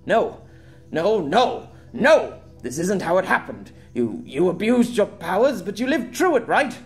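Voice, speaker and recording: A man's voice: high-pitched, very animated, measured pace, faint music behind, pitched above the performer's own voice, heavily acted. A man playing a loyal young swordsman who pleads urgently with a fallen friend. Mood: frantic. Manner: pleading, frantic.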